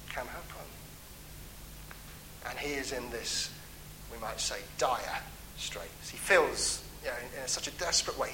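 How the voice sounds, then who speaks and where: Male preacher, earnest and pleading, bright forceful tone, faint hum behind. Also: mid-range voice, rough recording. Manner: earnest, pleading, with emphatic rises and soft trailing endings. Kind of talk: sermon on a psalm.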